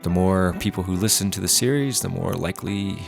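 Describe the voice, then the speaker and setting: A male announcer with a very low voice and a gravelly creak. He delivers scripted radio drama announcements and credits over music. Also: fairly quick.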